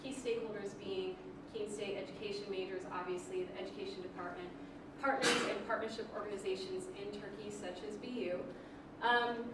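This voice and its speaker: A woman's voice: mid-range voice, steady tone, fairly quick. A young woman giving an academic presentation as a graduate student, earnest and full of ums, with light laughs. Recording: echoey room recorded from far off with noise.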